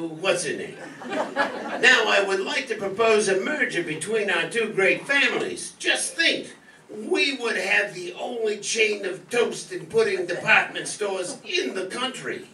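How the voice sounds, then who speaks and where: Man acting suave, bright-voiced cad. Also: sits high, a little hiss or hum, heavily acted. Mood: breezy, unrepentant. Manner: smooth-talking, theatrical, courtly. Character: suave, glib gentleman suitor and cad. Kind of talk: soap opera parody radio acting. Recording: echoey stage, far mic.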